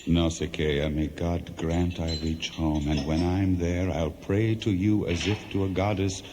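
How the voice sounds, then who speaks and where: An actor playing a crafty, weary Greek hero recounting his troubles in a theatrical, measured radio play style. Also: deep voice, flat delivery. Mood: solemn, grateful. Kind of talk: 1970s radio play acting, storytelling. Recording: noisy, long hall reverb, cassette radio play.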